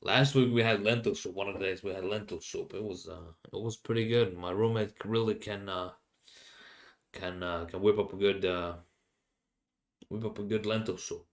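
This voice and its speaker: A young man with a Finnish accent hosts his own podcast in a loose, rambling way. His voice sits a little low, and his English comes out haltingly, full of fillers and restarts as he hunts for words. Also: steady tone. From Finland. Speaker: podcast host. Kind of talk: casual solo rambling.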